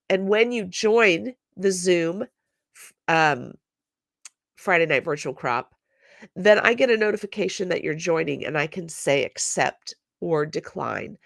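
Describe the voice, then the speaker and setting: A woman talks to her viewers in a slow, unhurried, chatty way, her voice stuffed up from a cold. Her tone sits a little low, with a gravelly fry in it. The sound is dry and close, with no room echo. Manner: chatty, apologetic, lots of fillers. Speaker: scrapbooking channel host. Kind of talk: casual chat to viewers.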